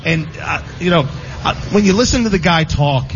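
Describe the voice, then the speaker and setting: A man's voice: on the high side, moderate pace, creaky, soft background music, a faint crowd. A man co-hosting a sports talk show, speaking with enthusiastic emphasis in casual chat. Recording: slightly muffled broadcast audio.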